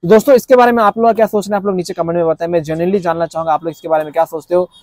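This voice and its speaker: A young man from India, talking excitedly to camera about cricket with animated, repetitive phrasing and a lapel mic. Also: sits high, very fast, dry recording. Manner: animated, repetitive, gestures with hands. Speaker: cricket reaction vlogger. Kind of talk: excited casual commentary to camera. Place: indoors in front of a backdrop screen.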